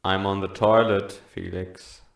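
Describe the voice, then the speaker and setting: A man playing a gruff, slow-witted security goon in an audio drama, talking bluntly and dully. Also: deep voice, level tone, highs cut off. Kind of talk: audio drama comic acting. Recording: roomy echo, faint music behind.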